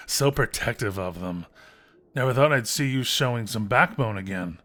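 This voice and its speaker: A man's voice: mid-range voice, expressive, unhurried, fairly bright tone. A man playing a smug, scheming adventurers' guildmaster in a fantasy audio drama, theatrical and taunting with mock courtesy.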